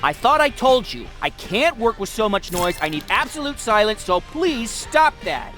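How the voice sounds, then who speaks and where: A fussy video game worker, complains in a very high, sharp voice. He swoops with exasperation, demanding to be left in peace. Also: big pitch swings, moderate pace, faint music behind, full character voice. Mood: exasperated. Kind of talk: acted video game dialogue.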